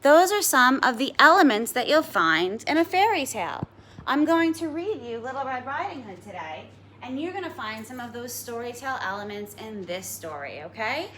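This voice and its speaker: A woman schoolteacher giving a read-aloud lesson for children, explaining simply and deliberately. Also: fairly high pitch, very animated, a bit bright, slight background noise. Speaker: elementary school teacher.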